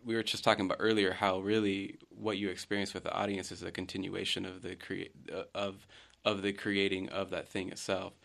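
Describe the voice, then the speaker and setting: A man's voice: deep voice, level tone, brisk, gravelly, few pauses, a bit bright. A filmmaker guest in a casual interview, reflective, often stumbling and restarting his sentences.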